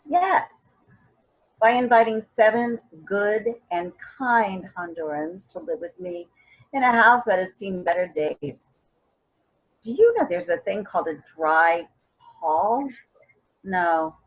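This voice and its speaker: A woman's voice: lowish voice, expressive, takes it slowly, long pauses, slightly rough, full character voice, rough recording. A woman acting a stubborn, principled, wry elderly mother in a readers theater drama, often searching for words and then stressing them. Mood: resolute. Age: older. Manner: word-searching, emphatic, wry.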